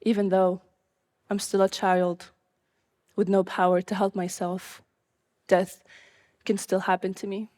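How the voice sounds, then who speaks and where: A woman's voice: mid-range voice, flat delivery, mellow. Young woman telling her own story. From Syria. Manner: earnest, measured storytelling with occasional laughs. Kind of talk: live personal storytelling.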